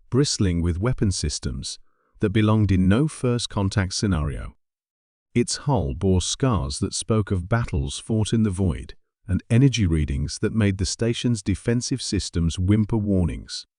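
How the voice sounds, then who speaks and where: A man reading a scripted science fiction story in a measured, dramatic storytelling manner. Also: on the low side, warm tone, no room sound, studio quality. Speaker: story narrator.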